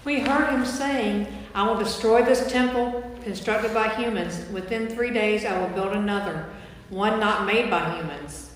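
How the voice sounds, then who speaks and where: A woman's voice: medium pitch, unhurried, lightly acted. A woman playing an accusing false witness in a staged scripture reading in a church. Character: false witness testifying against an accused man. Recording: echoey church, long reverb, background noise.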